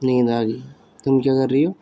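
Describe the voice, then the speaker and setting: A man's voice: medium pitch, level tone, moderate pace, mellow. A man chatting casually on the phone in a drowsy, laid-back manner. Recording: phone call with faint background noise.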